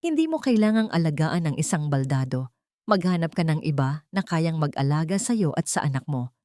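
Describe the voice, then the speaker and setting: A woman's voice: deep voice, sing-song, normal speed, dark tone, no echo, studio quality. A woman with a Filipino accent voicing an anguished, bitter injured man in a story, with desperate, self-loathing delivery. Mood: angry, self-loathing. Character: anguished, bitter injured man pushing loved one away.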